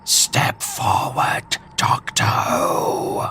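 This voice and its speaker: A man voicing an eerie living scarecrow in a comic dub, slow, formal and menacing, with a hissing, breathy voice. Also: sharp tone. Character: eerie living scarecrows serving alien masters.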